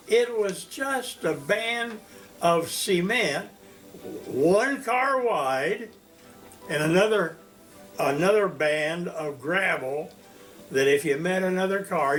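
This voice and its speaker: A man of one hundred from Indiana, recalling his life as a teacher in a slow, storytelling interview. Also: poor audio. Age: 100s. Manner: slow, storytelling, animated pitch swings. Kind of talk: reminiscing interview.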